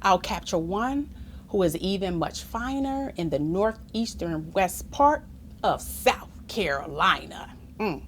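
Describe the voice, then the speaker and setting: A woman reading a children's picture book aloud expressively, engaging listeners with questions and asides in an animated manner. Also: medium pitch, slow pace, slight background noise. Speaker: storytime reader.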